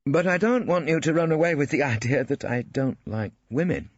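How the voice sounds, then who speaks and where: A man's voice: fairly high pitch, animated, brisk, no echo, muffled recording, pitched above the performer's own voice. A man voicing an awkward, self-important male barrister, fussy and bumbling. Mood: earnest. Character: awkward, pompous middle-aged male barrister.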